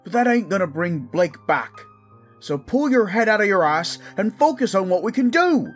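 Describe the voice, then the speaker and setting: A man's voice: high-pitched, big pitch swings, normal speed, faint music behind. A man playing a loyal, protective commoner in a fantasy audio drama, earnest and informal in manner. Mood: defiant pleading. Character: loyal protective young male commoner, defiant but caring.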